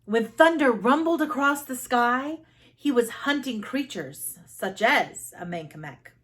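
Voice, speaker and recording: A woman reading a children's story aloud as expressive narration, with deliberate dramatic emphasis and pauses. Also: on the high side. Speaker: storybook reader.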